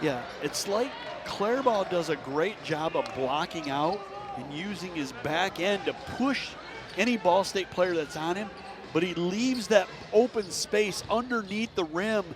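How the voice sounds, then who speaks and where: Fairly high-voiced male basketball color analyst. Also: expressive, a little slow. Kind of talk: live radio basketball analysis.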